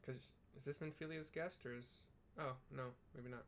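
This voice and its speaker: A man's voice: medium pitch, fairly even intonation, a bit bright. Casual man narrating gameplay, faint noise. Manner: offhand, halting, colloquial. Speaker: gaming video host. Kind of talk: casual play-by-play with scene description.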